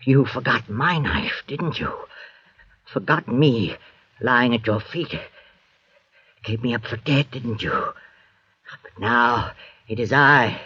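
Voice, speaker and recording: An actor playing a menacing, vengeful castaway convict with a sneering delivery in an old radio drama. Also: male voice, mid-range voice, lively intonation, slow pace, long pauses, pushed and bright, slightly rough, full character voice. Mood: vengeful triumph. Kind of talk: golden-age radio drama acting. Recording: dull vintage radio recording.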